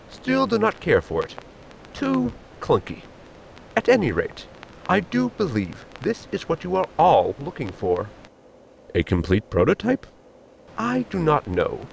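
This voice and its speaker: A man's voice: sits high, vocal fry, a little hiss or hum, full character voice, poor audio. An actor playing a formal, fussy inventor crewman with stiff, precise diction in a sci-fi audio comedy. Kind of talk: audio sitcom acting.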